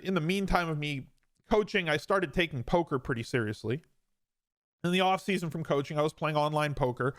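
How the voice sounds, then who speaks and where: A man's voice: fairly high pitch, no room sound. Dry-voiced man in his forties, storytelling. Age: 40s. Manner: conversational, self-deprecating, emphatic repetition. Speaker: podcast host. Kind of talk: casual storytelling monologue.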